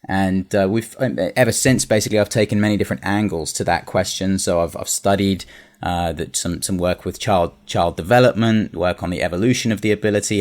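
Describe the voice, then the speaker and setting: London-accented male guest, thirties, low register, halting and reflective. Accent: London English. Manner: thoughtful, frequent restarts and fillers. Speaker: guest, cognitive scientist. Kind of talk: casual interview answers.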